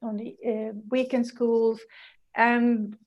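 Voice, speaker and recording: Higher-voiced woman, halting speech. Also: little pitch movement, highs cut off. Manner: hesitant, many restarts. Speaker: co-host. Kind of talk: casual live video chat. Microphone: video call.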